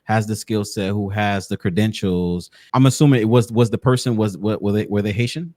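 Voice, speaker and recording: A man with a fairly low voice hosts a casual interview. His manner is informal and encouraging, and he likes to double up short phrases. The sound is close and dry, with very little room in it. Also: steady tone, a little fast, darkish tone, no room sound. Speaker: podcast host.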